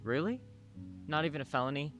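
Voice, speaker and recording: An actor playing a polite, soft-spoken male nurse in a radio play, a middle-aged neighbor who talks matter-of-factly. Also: on the high side, lively intonation, brisk, faint music behind. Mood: calm, friendly. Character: gentle older male nurse neighbor, married, childless.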